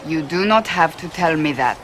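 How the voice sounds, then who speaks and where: An actress playing a brash, intense warrior woman in a video game, speaking formally and deliberately with earnest sternness. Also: low-pitched, animated, a bit bright, faint music behind. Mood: stern, reflective. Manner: formal, deliberate, avoids contractions. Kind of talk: video game character dialogue.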